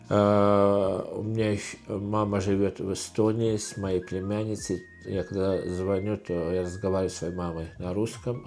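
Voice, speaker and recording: A middle-aged man from Estonia with an Estonian accent, talking casually in a question-and-answer vlog, halting with many fillers and restarts. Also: fairly low pitch, a little mellow. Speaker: channel host.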